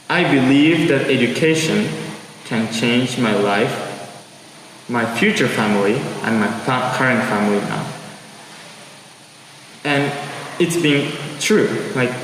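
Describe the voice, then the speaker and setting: A young man in his twenties from Japan, an exchange student, giving a halting, earnest and pleading address to listeners. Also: mid-range voice. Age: 20s. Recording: echoey hall with long reverb and steady background noise.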